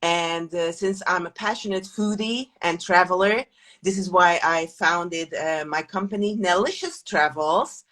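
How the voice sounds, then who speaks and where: Lower-voiced woman interview guest. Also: measured pace, fairly bright tone. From Hungary. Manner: hesitant, many fillers and restarts. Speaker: guest, food tour company founder. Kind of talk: casual interview talk.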